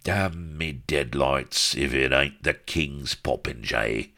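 A man's voice: rumbling low, takes it slowly, sharp tone, heavily acted. A man voicing a huge, hairy, roguish pirate captain in a storybook, with a wheezy growl and bawled archaic oaths. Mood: wheezing, jovial. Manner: bawling, oath-laden archaic pirate talk.

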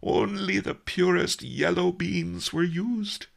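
A man's voice: sits high, takes it slowly, creaky, voice pushed up, heavily acted. A man voicing a cunning, indignant old great-grandfather in a storybook, given to theatrical huffing and wailing. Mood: tearful. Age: older. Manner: theatrical, huffy, sobbing outbursts.

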